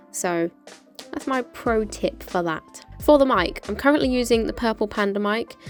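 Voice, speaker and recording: A British woman presents a casual gear explainer in a chatty, practical way. Her voice sits at an ordinary mid pitch for a woman. Soft music plays behind her. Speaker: motorcycle vlogger presenting.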